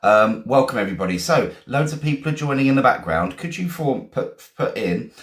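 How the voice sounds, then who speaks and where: A man's voice: mid-range voice, expressive, roomy sound. A man with an English accent, teaching English vocabulary in a live stream, chatty and encouraging, greeting viewers and repeating his questions. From England. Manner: repeats questions, slows to spell words out.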